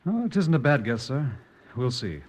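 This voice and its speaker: Echoey British police sergeant. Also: male voice, mid-range voice, very animated, moderate pace. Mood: observant. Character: shrewd, deferential male British police sergeant. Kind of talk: old-time radio drama acting. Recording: dull old radio recording, long reverberant hall.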